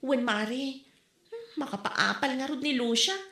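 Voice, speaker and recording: A woman's voice: sits high, very animated, unhurried, vocal fry, a bit bright, exaggerated voice. An actress playing a pushy, easily exasperated woman market vendor in a comedy audio drama, with an Ilocano accent from the northern Philippines. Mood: pleading. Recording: echoey room.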